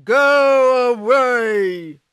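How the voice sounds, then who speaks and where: Woman putting on a slow booming ogre. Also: no echo, studio quality. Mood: menacing. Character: big gruff male ogre, menacing.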